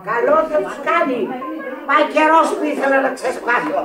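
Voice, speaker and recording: An actress playing a desperate, passionate Sicilian widow in Greek radio theatre, pleading and defiant. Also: female voice. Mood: defiant anguish. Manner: pleading, defiant, highly dramatic. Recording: echoey old radio play, crowd noise.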